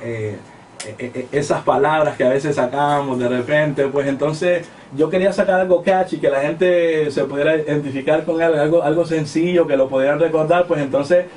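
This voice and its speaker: A man's voice: a little high, steady tone, moderate pace, darkish tone, a little hiss or hum. A man from Honduras, a music artist talking casually in a radio interview, hesitant with many fillers and restarts. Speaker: reggaeton artist guest. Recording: distant mic with music playing behind.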